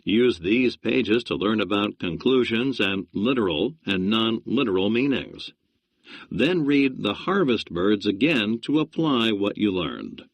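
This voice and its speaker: Brief: male lesson narrator, instructive, slow, expressive pitch. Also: dry recording. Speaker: reading instructor.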